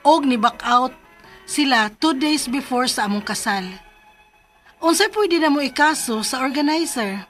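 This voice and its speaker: A woman's voice: high-pitched, expressive, unhurried, a bit bright, soft background music, exaggerated voice. A woman with a Filipino accent playing a distressed young bride-to-be in a radio drama. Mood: troubled narration. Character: distressed young bride-to-be whose wedding falls apart.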